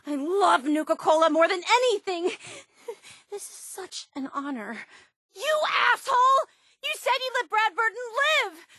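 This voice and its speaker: An excitable, high-voiced superfan. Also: female voice, dry recording, studio quality, exaggerated voice. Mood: awestruck, then anguished, furious. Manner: gushing, earnest, excitable. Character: eager, obsessive young woman superfan of a soda brand. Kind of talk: video game character dialogue.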